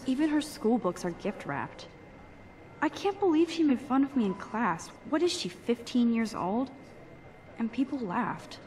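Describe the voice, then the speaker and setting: An actress playing a shy, self-deprecating teenage photography student, thinking aloud casually in a video game. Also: female voice, on the high side, lively intonation, measured pace, a little mellow. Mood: resentful. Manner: casual, introspective, understated. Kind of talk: inner monologue in a video game.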